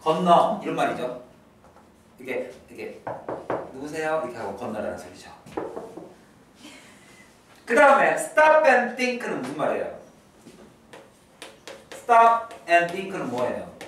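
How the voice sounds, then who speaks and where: A male English teacher giving an exam prep lecture, repeating key phrases slowly and drilling his students. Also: on the high side, sing-song, heavy reverb, distant mic, background noise.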